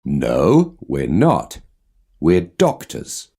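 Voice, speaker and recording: A man with a very deep voice reads out language-course dialogue. He speaks at a slow, deliberate pace and pronounces every word with care. His intonation rises and falls widely, as if modelling speech for learners. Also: wildly swooping pitch, creaky, mellow, breathy edge. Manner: slow, carefully enunciated delivery. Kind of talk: scripted language-lesson dialogue.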